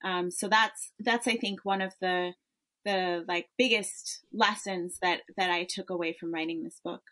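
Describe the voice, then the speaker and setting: A woman's voice: on the low side, fairly even intonation, unhurried, bright, forceful tone. A woman in her thirties with an American accent, an author answering interview questions thoughtfully, with frequent fillers and restarts. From born in Tanzania, raised in Europe and Africa. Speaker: memoir author guest.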